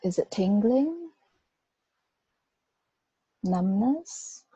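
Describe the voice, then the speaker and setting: A woman guiding a body scan meditation, giving gentle, unhurried instructions with long pauses. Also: mid-range voice, lively intonation, breathy edge, dry recording. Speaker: meditation guide. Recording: dull, muffled lo-fi recording.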